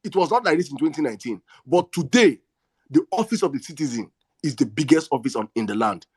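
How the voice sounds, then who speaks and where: A man's voice: on the high side, lively intonation, fast, slightly rough, dry recording. A man with a Nigerian accent hosting an online discussion, speaking in an emphatic, repetitive, rhetorical way as he gives impassioned political commentary. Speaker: host of an online audio discussion space.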